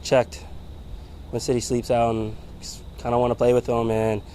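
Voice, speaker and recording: Halting young man in a band. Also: medium pitch, monotone, warm tone, a little hiss or hum. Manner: halting, repeats words. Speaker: band member. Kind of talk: casual interview answers. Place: outdoors at a music venue.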